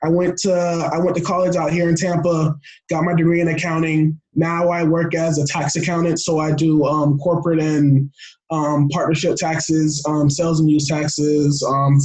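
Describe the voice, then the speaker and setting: A young American man talking casually as an interview guest, excitable and rambling, often restarting his phrases. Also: on the high side, normal speed, rough recording. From Florida, United States. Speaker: podcast guest.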